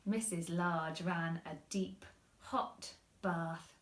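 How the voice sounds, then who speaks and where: A woman reading a children's story expressively, with deliberate phrasing, in a roomy-sounding recording. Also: lowish voice, fairly even intonation, a little hiss or hum. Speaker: primary school teacher reading stories.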